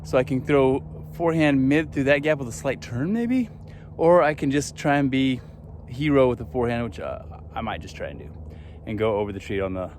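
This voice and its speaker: A man vlogging outdoors on a desert course, thinking aloud in a relaxed way as he walks between shots. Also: mid-range voice, a little fast, a little hiss or hum. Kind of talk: casual walk-and-talk commentary. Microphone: handheld phone and gimbal camera.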